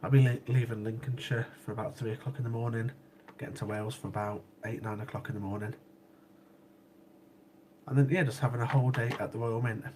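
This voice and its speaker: A chatty man, a bit sniffly from a cold, talks casually to his livestream viewers at a middling pitch. He loops back over his words and laughs often. A faint hiss sits under the recording. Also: steady tone, fast talker, darkish tone. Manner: chatty, repeats himself, laughs easily. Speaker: livestream host. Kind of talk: casual chat with live chat viewers.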